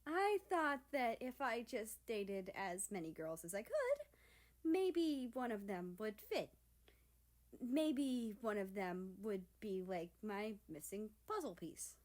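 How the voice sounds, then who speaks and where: A woman's voice: mid-range voice, sing-song, slow. A woman voicing a shy, lovestruck young female character, hesitant and earnest, in a story reading.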